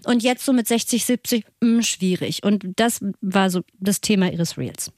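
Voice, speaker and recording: Podcast co-host, female, late 30s, mid pitch, candid casual chat, close dry sound. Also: moderate pace, darkish tone, no echo.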